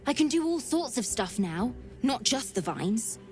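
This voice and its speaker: High-pitched, scrappy young girl. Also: big pitch swings, soft background music. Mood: earnest, halting. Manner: informal, childlike, halting speech. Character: plucky young orphaned tiefling girl, scrappy and earnest. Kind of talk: video game character dialogue.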